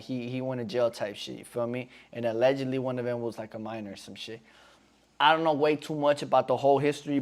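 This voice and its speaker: A man in his twenties chatting casually on a live stream in slangy talk, often calling viewers "bro". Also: mid-range voice. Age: 20s.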